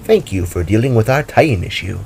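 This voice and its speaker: Man as a low, matter-of-fact robed bird creature. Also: expressive, brisk, gravelly, darkish tone, a little hiss or hum. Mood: calm, grateful. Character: grateful robed magical crescent bird creature. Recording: music and rain under the voice.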